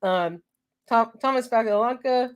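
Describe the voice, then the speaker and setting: High-voiced, congested woman host. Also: measured pace, clean tone, dull audio. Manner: laughing, loose, reads off viewer names. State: congested from a cold. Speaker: live show host. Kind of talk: casual live-stream chat.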